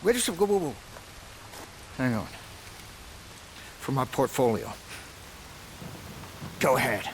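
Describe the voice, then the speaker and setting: An actor playing a cantankerous, cocky older hacker in a video game, talking in a folksy, sardonic, blunt way. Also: male voice, medium pitch, sing-song, slow, long pauses, a little hiss or hum, rough recording. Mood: wry. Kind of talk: video game cutscene acting.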